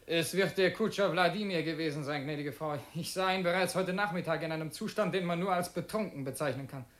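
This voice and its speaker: An actor playing a controlled, courteous young gentleman in a radio drama, speaking formally and firmly. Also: a little high, fairly quick, reverberant, close to an everyday voice. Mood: polite, composed. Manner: formal, firm.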